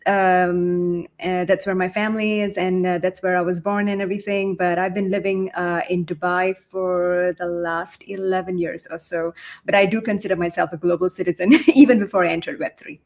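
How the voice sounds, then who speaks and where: A woman's voice: on the low side, little pitch movement, normal speed, darkish tone. A woman from Singapore introducing herself as a guild leader, fluent but with frequent uh fillers. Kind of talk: self-introduction on a panel.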